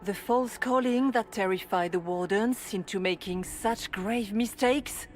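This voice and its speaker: A woman's voice: mid-range voice, a little mellow, close to an everyday voice. Actress as a solemn serene spirit woman, noisy ambience. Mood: solemn, calm. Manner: measured, formal, solemn. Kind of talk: video game voice acting.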